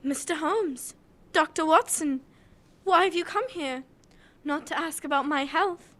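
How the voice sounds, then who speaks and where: A woman's voice: high-pitched, unhurried, fairly bright tone. A woman playing a wary, bitter young servant girl in a radio mystery drama, guarded and challenging. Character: young village maid, wary, proud and bitter.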